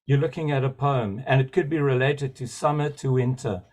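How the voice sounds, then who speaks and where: A man's voice: medium pitch, moderate pace, a little mellow. A man joining a webinar over a video call to share a long, enthusiastic comment that wanders from one thought to the next. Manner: enthusiastic, rambling, digressive. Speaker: webinar participant.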